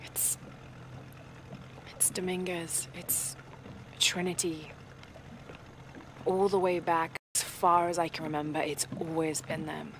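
A woman's voice: sits low, little pitch movement, slow pace, pauses often, noisy background. An actress playing a determined young woman adventurer with old grief, earnest, in a video game cutscene. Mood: regretful, resolved. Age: young adult.

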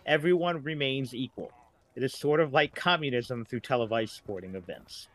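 A man's voice: mid-range voice, moderate pace, fairly bright tone, low-quality recording. A middle-aged man talking casually as a writer guest on a podcast.

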